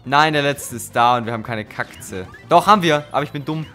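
A man's voice: mid-range voice, brisk, pushed and bright. A young man bantering casually as he plays a co-op video game, joking and dropping in English phrases. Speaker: let's player. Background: video game music.

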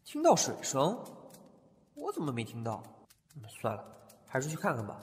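A man's voice: mid-range voice, huge pitch swings, moderate pace, slightly rough, exaggerated voice. A man playing a talkative, curious young antique-shop owner who often muses aloud to himself. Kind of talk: fan audio drama acting.

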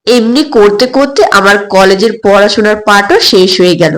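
A woman's voice: mid-range voice, normal speed. A woman narrating an audio story expressively in the first person.

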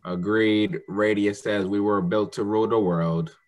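A man's voice: lowish voice, fairly even intonation, unhurried, darkish tone, natural voice. A man playing an obedient rebel robot in a radio drama, formal and declarative. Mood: cold. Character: obedient robot plotting against mankind. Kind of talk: old-time radio drama acting.

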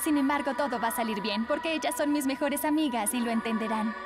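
A woman voicing a sweet, earnest teenage girl in a cartoon dub, expressive. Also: high voice, quick pace, close to an everyday voice. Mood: earnest, regretful. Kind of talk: animated cartoon dub acting. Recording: music behind.

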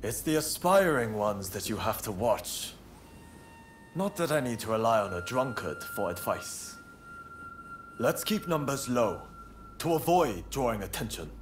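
A man's voice: mid-range voice, expressive, unhurried, long pauses, natural voice. A man playing a zealous, calculating samurai plotter in a video game, businesslike and intent. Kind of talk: dramatic video game voice acting. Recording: clear ambient noise behind.

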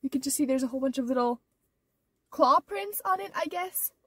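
A woman's voice: sits high, expressive, a little fast, fairly bright tone, no echo, recorded from a distance. A teenage girl chatting casually in her car, rambling with lots of fillers, her nose stuffed up from allergies. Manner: rambling, many "like" and "or whatever" fillers. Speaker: vlogger. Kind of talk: casual vlog chat.

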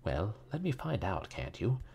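Storybook magnate played by a male narrator: brusque, terse, excitable amateur antiquarian, lively pitch, raised above narration. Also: vocal fry, slight background noise, exaggerated voice. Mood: irritated. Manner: terse, halting when reading out old writing.

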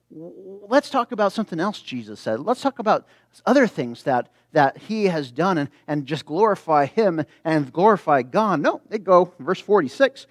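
A man preaching a sermon as a pastor, reading scripture and explaining it in an animated way, acting out reactions. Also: high voice, no echo, studio quality. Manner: animated, acts out imagined reactions.

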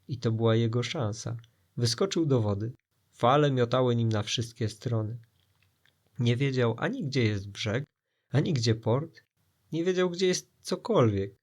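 A man narrating an adventure story for children expressively, with suspense and dramatic pauses. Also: medium pitch, expressive, a little mellow.

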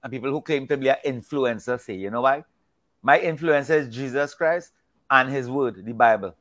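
A man's voice: mid-range voice, moderate pace, a bit bright. An older man from Trinidad with a Trinidadian accent, talking casually about faith on a video call, often repeating words as he goes. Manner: rambling, repeats words, folksy. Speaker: discussion guest. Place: indoors at home, virtual backdrop. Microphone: video call webcam.

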